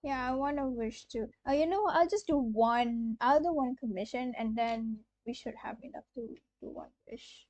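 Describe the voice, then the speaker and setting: A woman's voice: on the high side, normal speed, hardly any pauses, no echo. A young woman from Malaysia chatting casually on a game livestream, hesitant and often repeating herself. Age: young adult. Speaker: game streamer. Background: soft game music.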